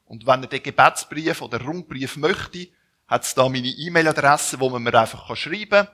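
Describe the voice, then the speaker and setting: A man in his forties from Zurich with a Swiss German accent, introducing himself as a missionary to a church gathering, speaking haltingly with frequent restarts. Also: mid-range voice, pushed and bright. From Zurich, Switzerland. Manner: halting, with restarts and self-corrections. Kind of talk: personal introduction to a church audience.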